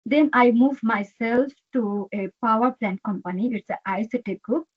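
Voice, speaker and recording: Halting Bangladeshi woman, dull line. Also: a little high, husky. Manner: earnest, halting, frequent fillers and "okay". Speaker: HR executive, guest. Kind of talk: interview answers.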